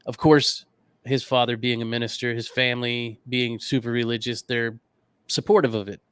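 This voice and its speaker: A man with a bright, crisp voice at a middle pitch, co-hosting a podcast. He narrates in a casual, chatty storytelling style, recorded dry. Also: level tone, normal speed, no echo. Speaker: podcast co-host.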